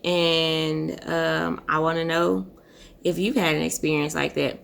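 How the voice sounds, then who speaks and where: A young woman, hoarse and husky, chatting casually as a beauty vlogger while telling a story. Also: lowish voice. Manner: relaxed, chatty, says y'all and um often. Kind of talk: casual storytelling chit chat. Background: music.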